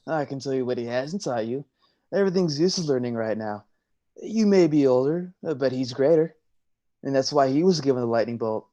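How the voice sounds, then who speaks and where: A man's voice: medium pitch, expressive, fairly quick, dark tone, highs cut off, natural voice. A man playing a laid-back, teasing young sea god with surfer slang in a staged play reading. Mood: breezy, defiant.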